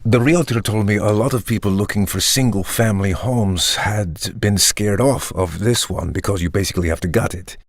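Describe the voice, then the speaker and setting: Smug startup founder in an audio comedy, really low husky voice, measured and sure of himself, dry close sound. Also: male voice, no echo. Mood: self-assured. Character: self-satisfied, polished male tech entrepreneur, secretly vampiric. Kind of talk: monologue in audio comedy play.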